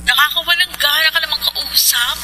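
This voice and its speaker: An actress playing a pushy, spoiled rich sweetheart in a Filipino radio drama, coy and pouting, slipping into English. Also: female voice, high-pitched, brisk, natural voice. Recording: loud noise behind the voice.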